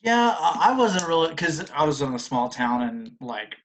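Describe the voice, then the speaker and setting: A man in his late thirties chatting casually as a guest, halting and often restarting his sentences. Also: a little high, very animated. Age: late 30s. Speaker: returning panel guest. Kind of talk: casual chat.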